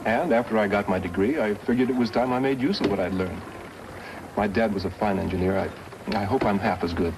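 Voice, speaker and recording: A man's voice: on the low side, fairly quick, music in the background, lightly acted. A young man playing an earnest, polite mining engineer in a 1950s radio western. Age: young adult. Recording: noisy old radio recording.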